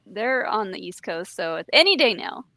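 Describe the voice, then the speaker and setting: A woman's voice: medium pitch, big pitch swings, normal speed, pushed and bright, no echo. A woman chatting casually as a podcast panelist. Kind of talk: casual chat.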